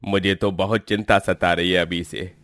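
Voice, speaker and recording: A man's voice: low-pitched, little pitch movement, moderate pace, a bit bright, dry recording, clean studio sound. A man playing a humble, respectful village cook and devoted brother in a dramatized moral story. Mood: worried.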